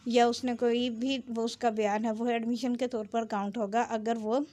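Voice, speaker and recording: Even-toned woman teaching law, higher-pitched. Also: moderate pace. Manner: code-switches between Urdu-Hindi and English. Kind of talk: explanatory law lecture, reading statutes aloud then explaining.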